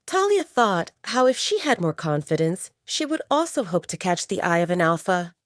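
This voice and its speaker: Expressive woman narrator, lower-pitched, dry sound. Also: moderate pace, no room sound. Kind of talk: scripted audio drama narration.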